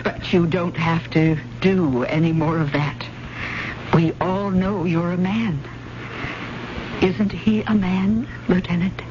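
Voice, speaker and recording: An actress playing a brave, quick-witted woman, earnest and dramatic, in old-time radio drama style. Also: lowish voice, fairly even intonation. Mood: soothing, firm. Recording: muffled, very noisy old radio recording.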